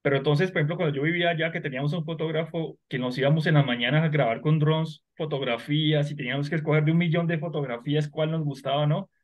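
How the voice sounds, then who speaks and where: A man explains his work on a video call and keeps checking whether his listeners follow him. His voice is somewhat high, and the call audio sounds dull and muffled. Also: fairly even intonation, quick pace, few pauses. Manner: explanatory, often asks "¿sí?" to check understanding. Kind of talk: casual video call discussion.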